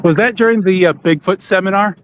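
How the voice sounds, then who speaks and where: A man with a somewhat high voice hosts a podcast. He talks casually, in an easy back-and-forth conversational style. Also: a little fast. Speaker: podcast host.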